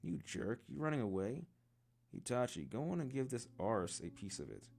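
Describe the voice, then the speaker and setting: A man voicing a loud, hot-headed young male ninja. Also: mid-range voice, sing-song, normal speed, vocal fry, pitched above the performer's own voice. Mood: angry.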